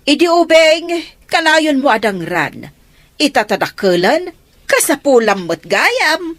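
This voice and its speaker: A scolding mother in a radio drama, chiding in a slightly high, dry voice. Soft music plays behind her. She sounds sharp and disapproving. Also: sing-song, a little slow, no room sound, heavily acted.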